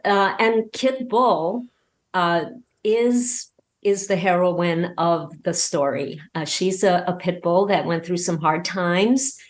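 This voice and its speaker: Lady podcast guest, kinda low voice, excited, stops and starts, casual chat. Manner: excited, halting, repeats words for emphasis. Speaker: podcast guest, audio drama creator.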